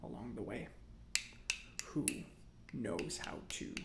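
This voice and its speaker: A man playing a chatty, self-satisfied robot mechanic in a soft-spoken ASMR roleplay, breathy and often near a whisper, musing slowly with pauses. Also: fairly low pitch, lively intonation, very noisy, exaggerated voice. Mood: musing, skeptical. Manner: unhurried, hesitant musing with pauses.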